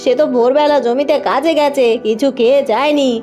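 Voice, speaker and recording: A woman's voice: high-pitched, steady tone, a little fast, mellow, soft background music, lightly acted. A woman voicing a meek, dutiful young village wife in a cartoon, pleading and deferential. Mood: pleading, apologetic. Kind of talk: cartoon voice acting.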